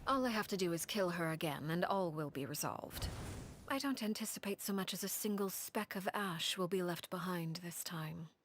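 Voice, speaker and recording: An actress playing a cold, controlled head of a crime family in a video game, speaking in a measured, formal and calmly menacing way. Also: female voice, on the low side, noisy background, lightly acted. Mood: cold, stern. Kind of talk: dramatic video game dialogue.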